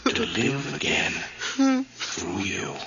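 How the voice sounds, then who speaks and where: An actor playing a vengeful dead twin who taunts his possessed brother in a horror radio drama, slow and mocking, slipping into whispers. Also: on the low side, huge pitch swings, vocal fry, dull audio, heavy background noise, exaggerated voice. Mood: gloating.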